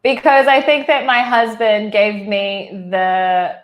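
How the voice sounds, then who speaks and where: A woman's voice: medium pitch, steady tone, takes it slowly. A woman in her thirties with a New Zealand accent, telling her life story as an interview guest in a casual, chatty way over a video call, full of "like" fillers and restarts. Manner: chatty, frequent "like" fillers and restarts, laughs easily.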